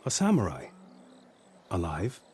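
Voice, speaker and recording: An actor playing a nervous monk who answers in a hesitant, evasive way. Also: male voice, low voice, huge pitch swings, a little slow, a little mellow, slight background noise, full character voice. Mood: wary.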